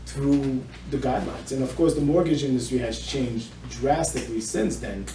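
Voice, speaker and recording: Echoey, mellow-voiced man in an interview. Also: mid-range voice, steady tone, moderate pace. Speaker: mortgage loan officer, interview guest. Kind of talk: interview answers, explanatory. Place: indoor room with small audience. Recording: echoey room, distant mic, faint noise.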